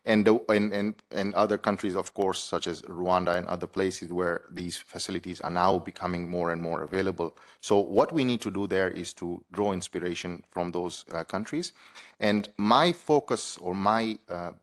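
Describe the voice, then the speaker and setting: A man, a PhD candidate answering examiners formally and measuredly, with frequent pauses and restarts. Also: low voice. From Tanzania. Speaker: PhD candidate defending a thesis.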